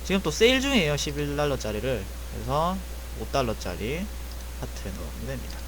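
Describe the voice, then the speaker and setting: A man's voice: mid-range voice, big pitch swings, a little fast, background noise. A man walking viewers through buying airsoft gearbox parts, explaining casually step by step and often correcting himself mid-sentence. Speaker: airsoft repair tutor. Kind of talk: screen-recorded parts-shopping walkthrough.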